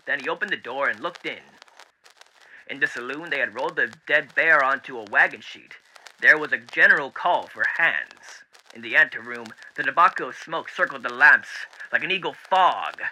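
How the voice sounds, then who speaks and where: Dapper, sinister male radio demon, theatrical storybook reading, piercingly bright, animated pitch, old radio filter. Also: slight background noise, low-quality recording. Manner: theatrical, deliberate, with hushed dips and swells. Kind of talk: dramatic book reading as old-time radio host.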